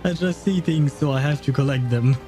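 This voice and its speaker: A man's voice: fairly high pitch, brisk, dark tone. A man livestreaming a game in casual chat, rambling and hesitant as he thinks aloud. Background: game music.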